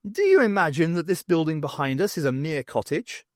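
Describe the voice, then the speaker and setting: A man voicing an excitable, mocking, adventurous woman in an audiobook, with theatrical exclamations. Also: fairly high pitch, brisk, no echo, studio quality.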